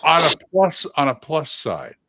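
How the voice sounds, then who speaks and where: A man hosting a livestream, chatting casually about tabletop games, opinionated and halting with sudden loud, excited bursts. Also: on the high side, dry recording. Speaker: livestream host.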